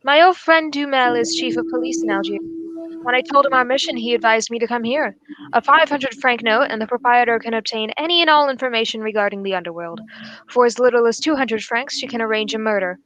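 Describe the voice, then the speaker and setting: A woman playing a high-voiced, courteous gentleman detective. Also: natural voice, low-quality recording. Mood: calm, confiding. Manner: measured, politely formal. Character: shrewd, courteous English gentleman detective, unflappable and amused. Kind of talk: 1940s radio drama acting.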